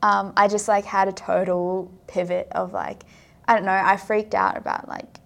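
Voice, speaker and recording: A woman's voice: medium pitch, level tone, moderate pace, vocal fry, a bit bright. A young woman from South Africa, chatting casually as a podcast guest and filling her talk with "like". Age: young adult. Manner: chatty, filler-heavy, frequent "like". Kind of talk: casual interview chat.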